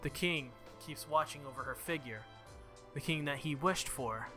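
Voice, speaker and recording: A man's voice: fairly high pitch, normal speed. A man who makes gaming videos, reading visual novel text aloud and commenting casually on the game. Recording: music behind the voice. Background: game music.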